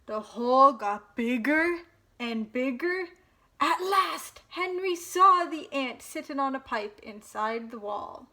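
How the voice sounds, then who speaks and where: A woman with a high, bright voice reads a children's picture book aloud. She takes her time and pauses for drama, telling it expressively as a storytime host for young listeners. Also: animated.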